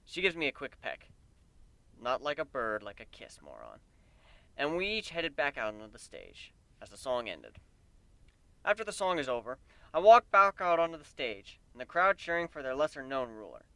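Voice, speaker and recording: A man giving an animated first-person dramatic reading of a fan fiction story. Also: mid-range voice, moderate pace, gravelly, no echo. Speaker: amateur story reader.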